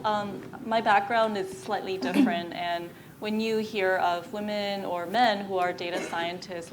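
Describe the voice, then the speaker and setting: A woman's voice: medium pitch, background noise. A woman speaking on a panel, telling her career story in a conversational way with fillers and light laughs.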